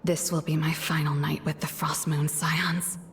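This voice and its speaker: Guarded young female scout, unhurried and hesitant delivery, inner conflict, video game drama, music clearly underneath. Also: deep voice, level tone. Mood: bitter, resigned. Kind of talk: video game cutscene voice acting.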